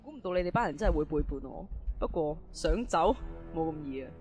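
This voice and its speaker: A male actor as an indignant, excitable prison informer in a radio drama. The voice sits high and rushes along. Music plays clearly behind it. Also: close to an everyday voice. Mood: alarmed, urgent. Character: shrill, gloating, lovestruck prison snitch. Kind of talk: amateur radio drama acting.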